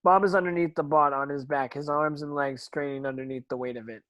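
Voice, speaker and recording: Higher-voiced man reading stage directions. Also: steady tone, brisk, dry recording, highs cut off. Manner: stumbles over words while reading. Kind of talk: scripted narration of screen action.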